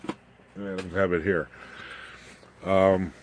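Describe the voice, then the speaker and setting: A man giving an informal, unscripted electronics demonstration, haltingly, muttering asides as he works with his hands. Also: low voice, little pitch movement, background noise. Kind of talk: informal unscripted technical show-and-tell.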